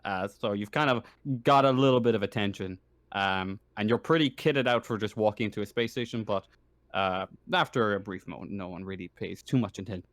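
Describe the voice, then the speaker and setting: Casting: male game master, low and resonant, halting improvised narration with frequent rephrasing, dry sound. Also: fairly bright tone, no echo. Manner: halting, often rephrasing himself.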